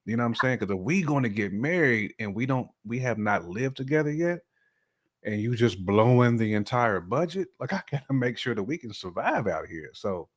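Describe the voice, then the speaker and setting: A man's voice: mid-range voice, lively intonation, normal speed. A man talking casually as a podcast guest, in a colloquial, slangy way with frequent check-in phrases. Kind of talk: casual chat, telling personal views.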